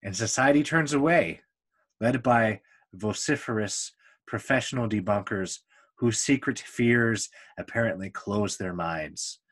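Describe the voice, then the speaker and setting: A man reading a book aloud in deliberate phrases, with pauses between them. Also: lowish voice, fairly bright tone. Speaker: book reader.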